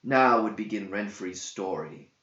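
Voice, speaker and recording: Male narrator, theatrical verse storytelling, very unhurried, roomy and echoing recording. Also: on the low side, fairly bright tone, lo-fi sound. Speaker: narrator, author reading own verse epic. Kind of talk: dramatic scripted verse narration.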